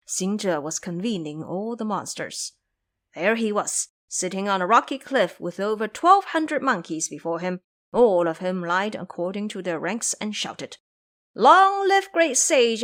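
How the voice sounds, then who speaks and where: Animated woman storyteller, swooping pitch. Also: moderate pace. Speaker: narrator. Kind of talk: scripted storytelling narration for an audio drama.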